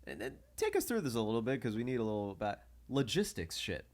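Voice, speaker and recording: Animated man, casual podcast co-host. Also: mid-range voice, fast. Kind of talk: casual chat.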